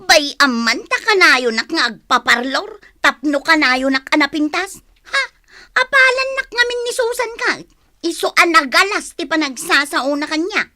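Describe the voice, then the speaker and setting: A woman playing a vain, easily offended village wife in an Ilocano radio comedy, whiny and animated, with an Ilocano accent. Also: high voice, moderate pace, full character voice. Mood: huffy.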